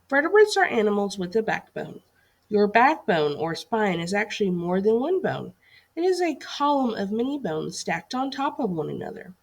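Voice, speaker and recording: Woman, teacher read-aloud, scripted educational delivery, expressive melodic intonation, husky tone, instructive. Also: mid-range voice. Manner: instructive, poses questions to young listeners. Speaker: teacher reading a lesson aloud.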